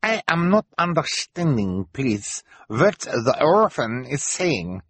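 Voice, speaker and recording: A man's voice: mid-range voice, big pitch swings, slow pace, fairly bright tone, husky, no echo, pitched above the performer's own voice, full character voice. A man voicing a sly villain posing as a foreign auctioneer, with a put-on foreign accent and stilted, oily politeness. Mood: feigned politeness. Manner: stilted broken English, oily false politeness.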